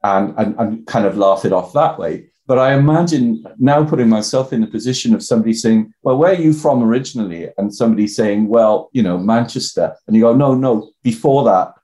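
An older Welsh man from the South Wales Valleys, talking as a guest in a reflective, halting way with many restarts. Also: on the low side, mellow. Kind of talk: reflective personal interview talk.

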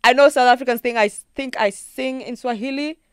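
Smooth-voiced Kenyan woman, animated. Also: high-pitched, brisk, dry recording, studio quality. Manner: animated storyteller who acts out her own thoughts in quotes. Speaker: guest singer-songwriter. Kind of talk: casual interview chat.

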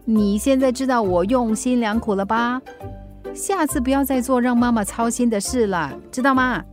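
A woman's voice: a little high, moderate pace, soft background music, lightly acted. An actress with a Singaporean accent playing a middle-aged, teasing and mildly exasperated mother in a radio drama, with chiding, playful banter. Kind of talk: Mandarin radio drama acting.

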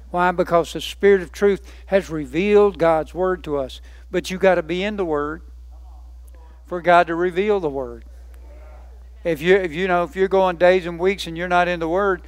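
A man's voice: high-pitched, dry recording. A man preaching a sermon, emphatic, building lists by repetition and asking rhetorical questions.

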